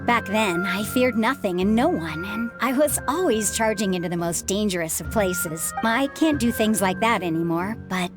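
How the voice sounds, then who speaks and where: A woman playing a calm, reassuring retired adventurer. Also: medium pitch, normal speed, few pauses, close to an everyday voice. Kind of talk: video game voice acting. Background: game music.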